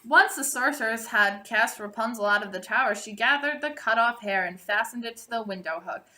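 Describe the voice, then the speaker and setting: A woman reads a fairytale aloud in a bright, fairly high voice. Her storytelling is expressive and deliberate, with drawn-out phrase endings. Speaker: storybook reader. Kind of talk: read-aloud storybook narration.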